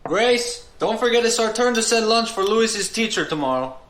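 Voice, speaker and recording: A man's voice: sits high, lively intonation, normal speed, fairly bright tone, slight background noise. Earnest, anxious family man. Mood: casual. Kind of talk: audio drama acting.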